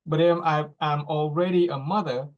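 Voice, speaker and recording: A group facilitator speaking slowly and deliberately, posing reflective questions with pauses between them. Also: medium pitch, fairly even intonation. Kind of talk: guided reflective talk. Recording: dull lo-fi recording.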